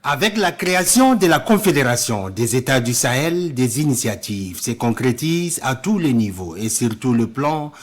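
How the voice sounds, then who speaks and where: A man's voice: medium pitch, big pitch swings, moderate pace, hardly any pauses. A man reading a scripted news report voice-over in a solemn, formal tone.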